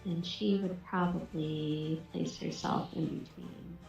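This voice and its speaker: A very echoey, slightly muffled recording of a woman playing a tabletop game. Her voice is deep for a woman and creaky, and she talks casually over faint music. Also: steady tone, slow pace, darkish tone. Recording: very echoey, dull room sound.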